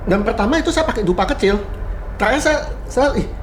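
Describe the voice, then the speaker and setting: A man's voice: extremely high pitch, expressive, fast, slight background noise. A man casually recounting a ghost encounter, acting out the quoted dialogue in a raised voice. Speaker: guest telling a ghost story. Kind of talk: casual storytelling chat.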